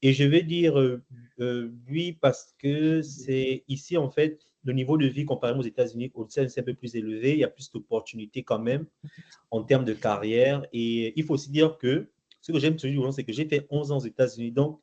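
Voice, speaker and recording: A man's voice: mid-range voice, fairly even intonation, moderate pace, warm tone. A middle-aged man from Gabon answering interview questions, hesitant, with many fillers and restarts. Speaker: interview guest. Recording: muffled lo-fi recording.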